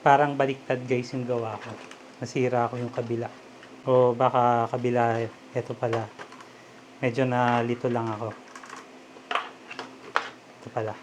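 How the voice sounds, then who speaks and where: A man's voice: medium pitch, flat delivery, moderate pace, long pauses. A Filipino man giving a casual step-by-step DIY demonstration, talking informally as he works with his hands. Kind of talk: casual step-by-step tutorial talk. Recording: clear background noise, ambient sound.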